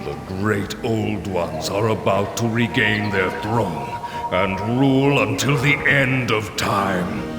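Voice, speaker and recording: Fanatical game cult leader, slow creaky grandiose delivery, loud music all over it. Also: male voice, on the low side. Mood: solemn triumph. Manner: grandiose, slow ritual chanting. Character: fanatical male cult leader summoning an ancient god. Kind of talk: video game villain acting.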